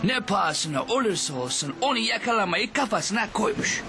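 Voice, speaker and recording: An actor voicing a jolly older fisherman, telling children a moral tale in a cartoon. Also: sits high. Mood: storytelling. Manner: animated, unhurried storyteller's delivery. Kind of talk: animated cartoon storytelling to children. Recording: music behind the voice. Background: music.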